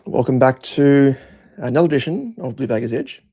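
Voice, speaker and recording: Croaky Australian man talking football. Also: mid-range voice, big pitch swings, brisk, mellow. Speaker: host of a football fan show. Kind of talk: casual post-match fan commentary.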